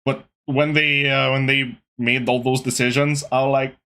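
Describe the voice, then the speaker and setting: A young man hosting a casual gaming podcast, talking to his chat in an animated, exasperated way. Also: mid-range voice, normal speed. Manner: animated, exasperated ranting with laughs. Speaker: podcast host.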